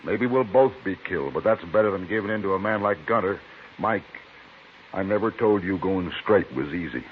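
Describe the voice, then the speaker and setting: A narrow, lightly noisy vintage radio western recording. A man plays an honest, stubborn American townsman of the frontier, speaking earnestly in a fairly low voice. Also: brisk, lightly acted. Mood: firm, resolute. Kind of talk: 1950s radio western acting.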